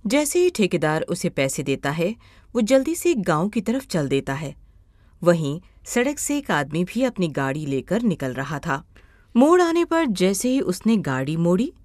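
A woman's voice: fairly low pitch, a little mellow, no echo, clean studio sound. A woman narrating a scripted moral story as its storyteller.

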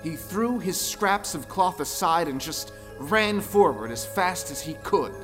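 A man narrating a folktale audio play with dramatic, expressive storytelling. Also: high-pitched, measured pace. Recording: long reverb, music underneath.

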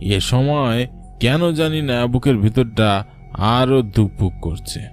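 A man's voice: mid-range voice, unhurried, faint music behind, lightly acted. A man playing a weary, frightened village rickshaw puller, telling a cartoon ghost story in an anxious, suspenseful, wondering way. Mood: alarmed, mystified. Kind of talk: dramatic first-person horror story narration for a cartoon.

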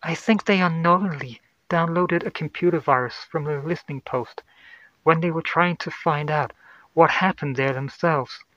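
A man's voice: a little high, moderate pace, fairly bright tone, dry recording, muffled recording, voice pushed up, natural voice. A man voicing an anxious woman science officer, urgent and explanatory, in a sci-fi horror audio story. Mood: grim, explaining.

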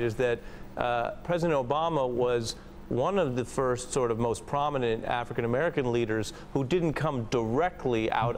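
A man in his thirties anchoring live cable news from a studio, asking measured, formal questions of his guests. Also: medium pitch, slight background noise.

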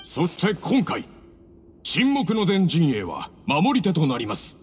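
High-pitched, solemn ceremony master. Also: male voice, huge pitch swings, slow, heavily acted. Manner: formal, reverent, declamatory. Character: solemn male master of a sacred duel ceremony. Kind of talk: video game ceremonial announcement. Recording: echoing hall, faint music behind.

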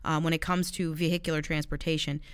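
A woman's voice: low-pitched, level tone, fast talker, clean tone, no room sound, studio quality. A young woman who coordinates city communications, talking earnestly and conversationally as co-host of a municipal discussion show. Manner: earnest, articulate, conversational with occasional restarts. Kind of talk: casual policy discussion.

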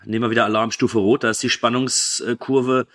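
A man's voice: medium pitch, flat delivery, a little fast, fairly bright tone. A man co-hosting a casual film podcast, earnestly giving his opinions and protesting when teased. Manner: earnest, protesting, laughs along. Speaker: podcast co-host.

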